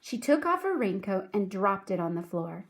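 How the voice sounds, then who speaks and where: A woman's voice: medium pitch, big pitch swings. Woman reading a children's story aloud. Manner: clear, deliberate, addresses young listeners. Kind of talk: children's read-aloud narration.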